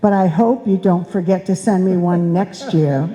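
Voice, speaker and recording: A woman's voice: fairly low pitch, normal speed, mellow, natural voice. A woman playing a nagging, long-suffering wife who needles her husband in a live old-time radio comedy. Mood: martyred, needling. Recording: reverberant live hall.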